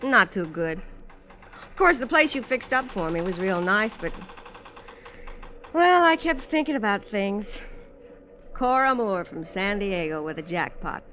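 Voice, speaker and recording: A woman's voice: medium pitch, big pitch swings, a little slow, pauses often, pushed and bright, a little hiss or hum. An actress in a 1950s radio drama, playing and narrating as a wry, streetwise, colloquial showgirl castaway. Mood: wistful, probing. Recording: dull vintage radio recording.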